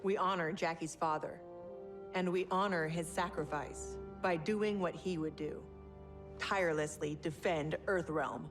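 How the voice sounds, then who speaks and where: An actress playing a stern middle-aged general in a video game, with an American accent and a clipped, authoritative, formal delivery. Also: female voice, lowish voice, fairly even intonation, measured pace, over music, natural voice. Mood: formal, commanding. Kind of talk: dramatic video game acting.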